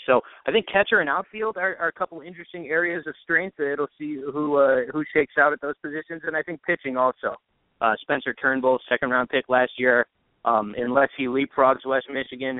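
A man's voice: mid-range voice, no room sound. A man on a tinny phone-like line, a sports announcer giving casual analysis as a podcast guest.

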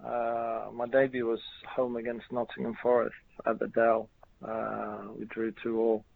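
A middle-aged man from Norway with a Norwegian accent, a former footballer answering interview questions in a halting way with many fillers. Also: mid-range voice, completely flat delivery, gravelly. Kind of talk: casual interview. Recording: tinny phone line.

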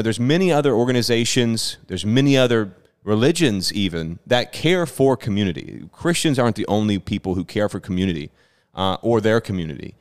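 A man hosting a church podcast, interviewing conversationally in an earnest, affirming manner. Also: lowish voice. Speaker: podcast host, church leader.

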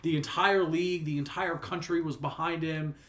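Slightly high-voiced young man talking sports. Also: steady tone, normal speed, fairly bright tone. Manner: teasing, then earnest and reflective. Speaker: podcast co-host. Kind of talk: casual sports chat.

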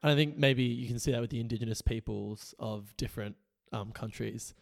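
A young man co-hosts a political podcast in a loose, chatty way. His voice is hoarse and gravelly today. He laughs easily. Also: medium pitch, fairly quick. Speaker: podcast co-host. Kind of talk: casual political chat.

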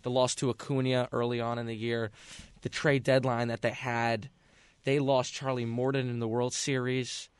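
A man's voice: mid-range voice, level tone, normal speed, clean tone, dry recording. A young man co-hosting a casual sports talk show, a Mets fan who argues his points emphatically.

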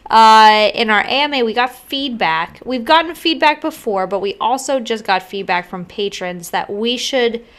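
A woman's voice: on the high side, moderate pace, few pauses, a bit bright. A woman co-hosting a podcast, chatting and giggling, sharing one microphone with her co-host. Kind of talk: casual chat.

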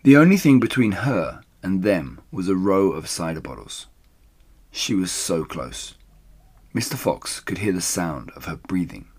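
A man reading a children's story aloud as a dramatic storyteller, suspenseful and hushed at moments. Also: sits low, slightly rough.

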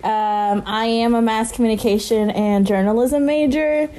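A woman's voice: on the high side, fairly even intonation, normal speed, warm tone. A young woman from Kentucky, co-hosting a casual podcast, rambling with fillers and giggles. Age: young adult. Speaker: podcast co-host. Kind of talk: casual chat.

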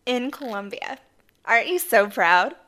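A woman in her twenties co-hosting a podcast, a bit hoarse from losing her voice, telling stories in a chatty way and laughing often. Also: on the high side, expressive, a little slow, pushed and bright. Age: 20s. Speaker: podcast co-host. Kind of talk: casual chat.

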